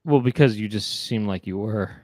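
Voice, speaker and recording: A man's voice: lowish voice, moderate pace, a little mellow, no room sound. A man co-hosting a casual chat show, joking and rambling with lots of restarts. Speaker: co-host.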